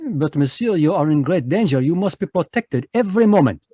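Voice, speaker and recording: Precise Belgian detective, French accent, rather high voice, dry sound. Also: male voice, expressive, a little fast, warm tone, husky, dry recording. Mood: polite, shrewd. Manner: precise, polite, sprinkles French words. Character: fussy Belgian detective, middle-aged man. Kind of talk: radio drama read live over video call. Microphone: home microphone on a video call.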